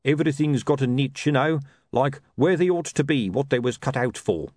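A man's voice: mid-range voice, moderate pace, darkish tone, no room sound, studio quality, pitched above the performer's own voice, close to an everyday voice. A man voicing a gloomy, down-on-his-luck sausage hawker in an audiobook, with colloquial, lamenting salesman's patter. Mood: despondent. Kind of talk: audiobook character voice.

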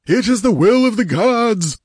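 A man voicing a fanatical high priest from a fantasy audiobook, solemn, resonant and declamatory as he invokes his goddess. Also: unhurried, a little mellow, no echo, clean studio sound. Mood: exalted fervour.

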